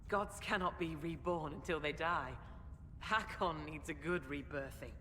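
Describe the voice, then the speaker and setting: A woman's voice: deep voice, a little slow. An actress playing a stern, proud female leader of a hill tribe of warriors in a fantasy video game, speaking tersely and solemnly in formal phrases. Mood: resolute. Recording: echoey cavernous hall, loud ambient noise.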